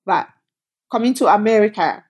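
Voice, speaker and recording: A middle-aged woman from Cameroon tells her founder's story as an interview guest. She is animated and laughs easily, with a voice at a typical middle pitch. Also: moderate pace, slightly rough.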